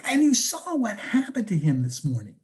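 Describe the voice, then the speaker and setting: A woman's voice: mid-range voice, wildly swooping pitch, moderate pace, a little mellow. A woman acting a prying, incredulous close friend in a conversational comic one-act, with teasing, probing questions. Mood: scolding.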